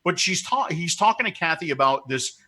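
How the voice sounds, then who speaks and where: A man of fifty hosting a casual show, joking and animated. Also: on the high side, normal speed. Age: 50s. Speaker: host.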